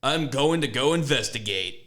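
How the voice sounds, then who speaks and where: A man's voice: mid-range voice, lively intonation, moderate pace, a bit bright, close to an everyday voice. A man voicing a prim, inquisitive young journalism student who makes puns. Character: young male journalism student, nosy and pun-loving.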